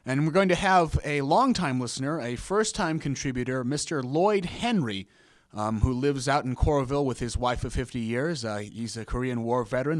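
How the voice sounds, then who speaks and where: A man's voice: mid-range voice, dry recording, clean studio sound. A man hosting a show, giving a casual, conversational introduction as a video DJ. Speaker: host, video DJ.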